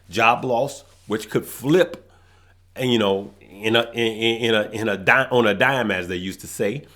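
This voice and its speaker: A man's voice: fairly low pitch, a bit bright. A man hosting a stock market show, talking casually to viewers and saying he is losing his voice, rambling with restarts and tagging his points with "right?". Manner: rambling, restarts phrases, tags lines with "right?".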